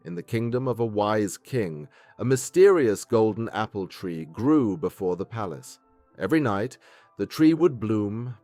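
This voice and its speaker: A man's voice: on the low side, darkish tone, dry recording. A man reading a scripted fairytale for children as a storyteller. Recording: music behind the voice. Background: background music.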